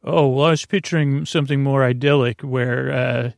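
A man hosting a bedtime podcast in a meandering, halting monologue, often trailing off mid-thought, with a creaky, gravelly voice. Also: mid-range voice, fairly even intonation, no echo, studio quality. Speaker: podcast host. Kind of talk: rambling improvised bedtime monologue.

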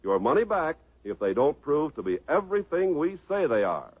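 A man announcing and reading a hearty sales pitch on a 1950s radio broadcast. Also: big pitch swings, normal speed, husky, no echo. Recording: tinny old radio broadcast.

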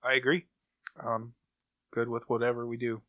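Blunt man with a bright, forceful voice. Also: medium pitch, flat delivery, normal speed, no echo. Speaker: podcast co-host. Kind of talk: casual chat.

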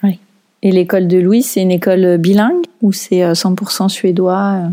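A woman's voice: lowish voice, steady tone, moderate pace, mellow. A woman, interviewing in a casual, conversational way with informal, attentive questions.

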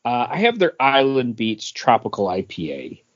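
A man with a mid-range voice talks in a measured, thoughtful way as a podcast co-host. The sound is dull and lo-fi. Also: mid-range voice, huge pitch swings. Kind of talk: casual chat.

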